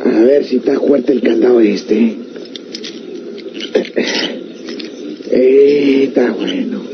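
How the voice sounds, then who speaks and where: An actor playing a sly, scheming bandit with a rural Mexican accent and folksy talk in an old radio serial. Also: male voice, medium pitch, measured pace, natural voice. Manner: folksy, animated. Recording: dull, very noisy vintage radio recording.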